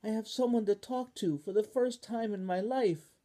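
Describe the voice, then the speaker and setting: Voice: mid-range voice, very animated, slow pace, mellow, breathy edge, pitched above the performer's own voice, heavily acted. A storyteller voicing Spoon, a lonely young female talking spoon who is delighted to find a friend, in a children's story.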